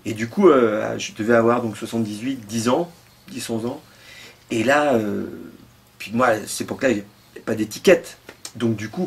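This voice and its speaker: A middle-aged French man, a guitarist, answering interview questions casually, rambling with many fillers and trailing asides in a home music studio. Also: mid-range voice. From France.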